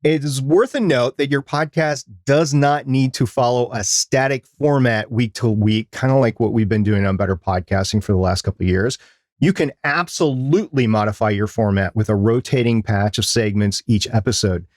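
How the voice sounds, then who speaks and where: A man with a mid-pitched voice co-hosts a podcast. He delivers semi-scripted advice and drops in casual asides. The recording is dry, with very little room sound. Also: moderate pace, no room sound. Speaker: podcast co-host.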